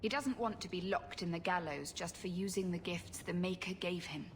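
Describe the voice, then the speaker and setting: A woman's voice: fairly low pitch, normal speed, slight background noise, lightly acted. An actress playing a proud, protective refugee woman in a video game, speaking in a stern, curt way. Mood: protective, firm.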